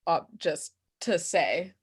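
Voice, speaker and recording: A woman's voice: lowish voice, animated, slow pace, husky. A young woman chatting casually and candidly as she answers questions, giggly and self-deprecating. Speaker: actor and playwright answering questions. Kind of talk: casual conversational Q&A.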